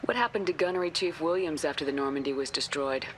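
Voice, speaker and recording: A woman's voice: low-pitched, fast talker, slight background noise, close to an everyday voice. A woman acting a commanding space soldier in a video game, blunt, controlled and dry. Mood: firm, businesslike. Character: tough, terse, commanding space soldier heroine.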